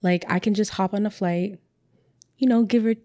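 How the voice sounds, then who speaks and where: A woman's voice: medium pitch, steady tone, normal speed, mellow. A young adult woman, a guest in a casual interview, talking in a chatty way with many "like" fillers and repeated words. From Canada.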